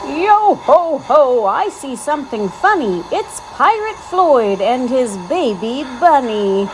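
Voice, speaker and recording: Woman acting out a young bully witch, storybook, gleeful, taunting singsong, high-pitched, very animated, noisy recording. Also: slow, full character voice. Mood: gleeful jeering.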